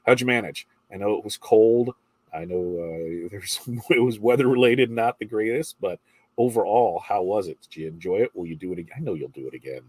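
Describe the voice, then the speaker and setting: A man's voice: lowish voice, a little fast, a little mellow, husky. A middle-aged man with a congested voice hosts a casual morning livestream, chatting and reading viewer comments in a rambling way.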